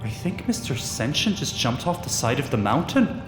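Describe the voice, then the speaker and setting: Casting: male actor as anxious, hesitant sci-fi audio drama adventurer, swinging pitch, big reverb. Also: big pitch swings, normal speed, lightly acted. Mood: uneasy. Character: nervous, bewildered reluctant male adventurer. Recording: echoey cave reverb over music.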